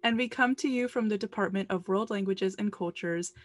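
A woman's voice: mid-range voice, a little fast. A woman co-hosting a podcast, reading a formal series introduction and asking the guest questions. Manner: formal, reads announcements. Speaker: podcast co-host.

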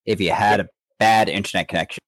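A man's voice: lowish voice, steady tone, fast, a bit bright, dry recording. Man chatting casually, dry close sound. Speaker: podcast co-host. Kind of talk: casual discussion of an anime parody.

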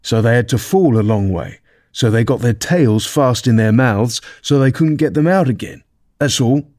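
Man voicing a brusque, mellow storybook beast. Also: medium pitch, animated, moderate pace, no room sound, studio quality, natural voice. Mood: matter-of-fact. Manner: solemn, brusque. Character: Griffin, gruff, impatient, solemn male beast.

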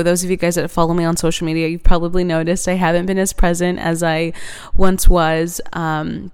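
A woman's voice: fairly low pitch, darkish tone, clear, resonant tone. A woman in her twenties hosting a reflective faith podcast, earnest and chatty, laughing now and then and using many fillers. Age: 20s. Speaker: podcast host.

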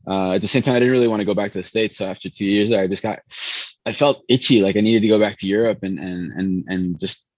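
A man's voice: on the low side, fairly even intonation, fast, dry recording, dull audio. A man who spoke Spanish first, a pastry chef telling long rambling stories full of restarts in an interview. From born in Chicago, raised in Venezuela. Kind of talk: casual interview storytelling.

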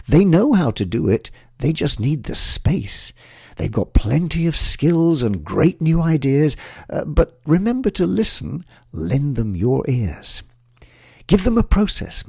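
A man narrating a rhyming Christmas tale in a measured, expressive recitation. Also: mid-range voice, gravelly, breathy edge, no room sound, clean studio sound.

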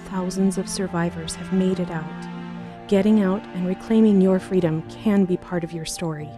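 A woman's voice: fairly low pitch, fairly even intonation, moderate pace, music in the background. A woman co-hosting a podcast, reading a scripted support announcement. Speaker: podcast co-host.

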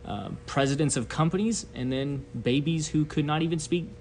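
Thirty-year-old man raised in Japan, precise guest, light noise and low rumble behind. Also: normal speed, a little mellow. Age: 30s. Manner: precise, fond of technicalities. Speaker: podcast guest, missionary. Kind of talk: casual chat.

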